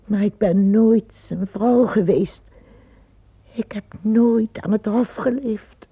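Woman playing a weary old empress, breathy hush. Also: medium pitch, slow, no room sound. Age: older. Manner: hushed, breathy, often near a whisper. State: tired. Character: old weary empress, fearful and plain-spoken. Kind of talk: radio play acting. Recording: tinny lo-fi old radio recording.

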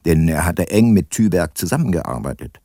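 Deep, husky, weary older detective. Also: male voice, big pitch swings, warm tone, no echo, clean studio sound. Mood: reflective. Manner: sober, measured, reflective. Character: weary, guilt-laden male private detective, ageing former prosecutor. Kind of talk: first-person radio play narration and dialogue.

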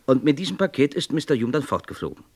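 Insistent, clipped male police inspector for radio crime drama, very varied pitch. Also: very animated, quick pace, no room sound. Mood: probing. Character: probing, businesslike male British police inspector. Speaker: actor.

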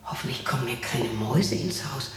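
An actress in her sixties playing a lonely, bitter, eccentric widow who talks to herself in a halting, sardonic, theatrical way in a radio drama. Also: a bass voice, expressive, faint music behind. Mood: bitter, morbid. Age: 60s. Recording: roomy echo with faint noise.

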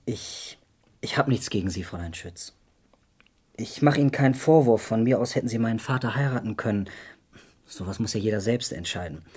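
An actor playing an earnest adult son in a crime radio play, hesitant yet insistent. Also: male voice, fairly low pitch, a little fast, a little mellow, lightly acted. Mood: restrained, hesitant.

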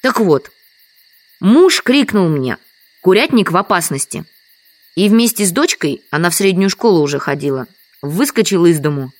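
An actress playing a lonely, resigned village widow in an audio drama, speaking plainly and matter-of-factly. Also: female voice, lowish voice, very animated, moderate pace. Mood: resigned, sorrowful. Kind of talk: Russian audio drama acting.

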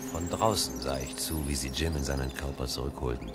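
Really deep-voiced guy narrating a 70s sci-fi radio play, measured, electronic music underneath. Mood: measured, intent. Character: male first-person narrator of a science fiction radio play. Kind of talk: scripted narration, 1970s German radio drama.

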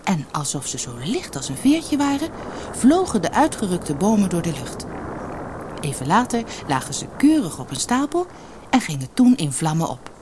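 A woman reading a fairy tale aloud as an expressive scripted storyteller. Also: on the low side, moderate pace, background noise.

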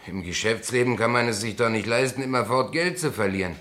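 An actor playing a naive but sensible, unassuming newcomer president in a German radio play, questioning and matter-of-fact. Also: male voice, mid-range voice, fairly quick, a bit bright, natural voice. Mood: puzzled, skeptical. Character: plain-spoken, naive, sensible new opera board president.

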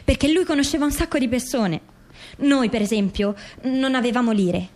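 A woman's voice: a little high, normal speed, darkish tone, close to an everyday voice. An actress playing a grieving, insistent mother seeking the truth about her dead son in a radio drama. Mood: uneasy, suspicious.

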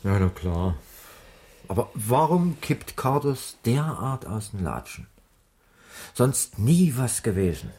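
An actor playing an easygoing, chatty circus colleague in a radio drama, talking casually. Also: male voice, medium pitch, sing-song, slow pace, warm tone. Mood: amused, chatty. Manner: casual, colloquial. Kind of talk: 1980s radio drama dialogue.

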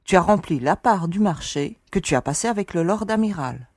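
A low-voiced, tough female space adventurer played by an actress in a sci-fi audio drama. She is curt and guarded, with a husky edge and a close, dry sound. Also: expressive, fairly quick, a little mellow, dry recording. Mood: guarded, firm.

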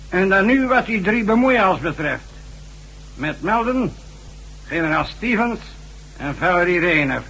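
An actor playing a smug, commanding villain chief who speaks deliberately in a radio drama. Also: male voice, a little high, bright, forceful tone, heavily acted, rough recording. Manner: deliberate, commanding, self-satisfied. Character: smug, bossy male villain chief persuading underlings. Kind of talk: science fiction radio drama acting. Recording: old radio drama with faint hum.

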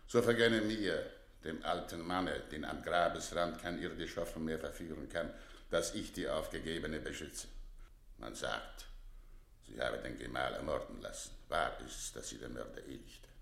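An actor playing a frail old earl who counsels royalty, solemn, emphatic and pleading, in a classical radio play. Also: male voice, rumbling low, creaky, a bit bright, roomy sound, full character voice. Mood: earnest pleading. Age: older.

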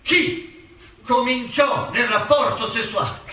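An actor playing an insistent, accusatory prosecutor who hammers a witness with questions in a theatrical courtroom radio drama. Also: male voice, very high-pitched, slow pace, sharp tone, heavily acted. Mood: accusing, forceful. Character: hectoring, insistent male prosecutor browbeating a witness. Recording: roomy echo, distant mic, faint noise.

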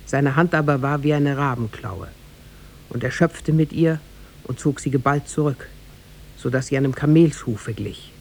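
A man's voice: medium pitch, moderate pace, vocal fry. Guy narrating an old fairy tale radio play, mid voice, formal, bit of hiss. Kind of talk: scripted storybook narration in 1950s radio drama. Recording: noisy 1950s radio recording.

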